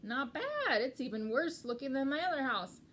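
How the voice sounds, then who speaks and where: A woman voicing a scrappy, cheerful, bumbling male pack rat from a storybook, loud and blunt with a breezy manner. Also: high-pitched, sing-song, normal speed, slight background noise, voice pushed up.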